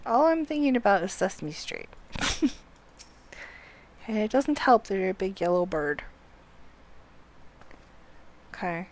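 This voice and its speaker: A woman's voice: medium pitch, sing-song, normal speed, darkish tone. A woman game streamer giving casual live gameplay commentary in a chatty, self-deprecating way.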